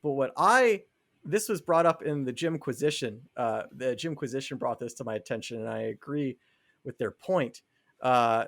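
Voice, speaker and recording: A man's voice: fairly high pitch, a bit bright. Earnest man in casual podcast debate. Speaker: podcast co-host. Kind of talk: casual opinionated discussion.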